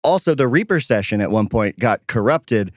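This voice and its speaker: A man's voice: medium pitch, lively intonation, moderate pace, no echo, clean studio sound. A man co-hosting a podcast, chatting casually in an informal, self-deprecating way. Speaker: podcast co-host and producer. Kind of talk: casual chat.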